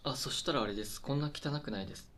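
A man's voice: mid-range voice, fast talker, a bit bright, close to an everyday voice. A man acting in a conversational radio drama as a depressed, self-conscious welfare applicant, hesitant, flustered and apologetic. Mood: sheepish.

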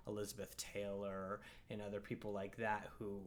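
A man hosting a casual film discussion, talking thoughtfully and hesitantly with many pauses. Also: lowish voice, completely flat delivery, a little hiss or hum. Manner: thoughtful, hesitant, lots of fillers and restarts. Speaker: podcast host and film reviewer.

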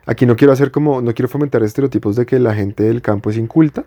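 A young man from Bogotá co-hosts a podcast in a relaxed, easygoing chat. His voice is mellow and warm, with a Bogotá accent. He works through his thoughts out loud and often restarts. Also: mid-range voice, fast, lo-fi sound. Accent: Bogotá Colombian Spanish. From Colombia. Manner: reflective, explains at length with restarts. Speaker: podcast co-host. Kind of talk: casual chat.

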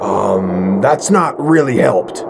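A man playing a hesitant, slow-witted rock strongman superhero in a comedy audio drama, halting and awkward. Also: fairly low pitch, animated, vocal fry, heavily acted. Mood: sheepish, awkward. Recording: loud background noise.

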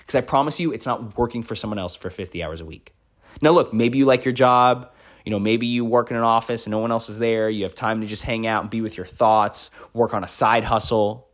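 A man's voice: lowish voice, brisk. A man in his thirties with an American accent, giving an impromptu motivational podcast monologue as a life coach, repeating phrases for emphasis. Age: 30s.

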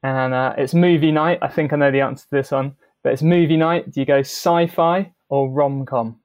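A man hosting a podcast interview over a video call, relaxed and a little hesitant, with fillers. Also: mid-range voice, moderate pace. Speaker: podcast host. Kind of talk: friendly interview.

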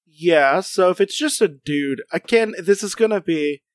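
High-voiced man in playful chat. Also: lively intonation, unhurried, dry recording, studio quality. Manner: playful, rambling with many fillers. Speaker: podcast co-host. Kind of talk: casual chat.

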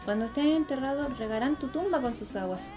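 Young woman playing troubled chilean girl in a radio play, high plain voice, music behind her. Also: lively intonation, fairly quick. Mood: questioning, reflective. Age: young adult. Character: troubled, plain-spoken young girl weary of death wishes. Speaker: student performer. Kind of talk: radio theatre acting.

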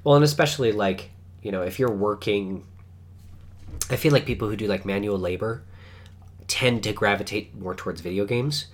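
A man's voice: fairly low pitch, moderate pace, long pauses. A man co-hosting a podcast, talking casually with lots of fillers, a little stuffy from a cold. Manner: relaxed, rambling with many fillers. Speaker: podcast co-host. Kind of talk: casual chat.

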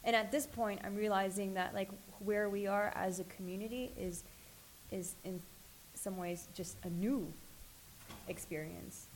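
A woman's voice: medium pitch, fairly even intonation, measured pace, poor audio. A woman whose first language is French, thinking aloud on a panel, with a faint hiss on the recording. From French Canadian and Vietnamese background. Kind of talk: panel discussion.

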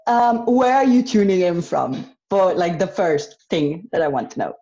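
A woman's voice: fairly low pitch, expressive, normal speed, warm tone, dull audio. A woman hosting a podcast interview, upbeat and enthusiastic. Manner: enthusiastic, laughs often. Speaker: podcast host. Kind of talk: casual interview chat.

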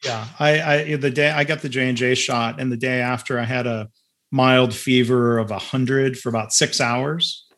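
A man with a mid-pitched voice moderates a webinar in a relaxed way, filling his sentences with easy "um"s. He sounds unhurried and friendly in tone. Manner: relaxed, many fillers. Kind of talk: webinar conversation.

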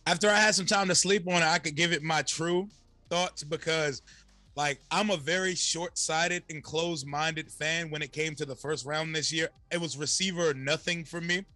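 A slightly hoarse man, a guest content creator, talking energetically and casually on a podcast. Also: on the high side, no room sound, faint music behind. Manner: energetic, slangy, fast-flowing.

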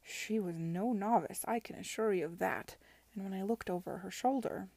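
A woman voicing a bitter, defensive young gentleman in an audiobook, confessing in a halting, grudging way. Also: mid-range voice, gravelly, no room sound. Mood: bitter, hurried. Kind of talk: audiobook character dialogue.

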